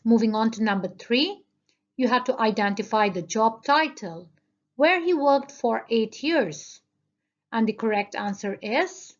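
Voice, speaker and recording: A woman's voice: fairly high pitch, muffled recording. A woman teacher going over listening answers with her students, speaking carefully and addressing them directly. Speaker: English teacher. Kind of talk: slow classroom answer review.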